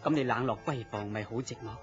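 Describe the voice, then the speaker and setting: A man's voice: medium pitch, fast, natural voice. An actor with a Hong Kong Cantonese accent playing a polite, bashful man courting his boss's mistress in a radio drama, deferential and hesitant. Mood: sympathetic, envious. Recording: muffled lo-fi radio drama, music behind.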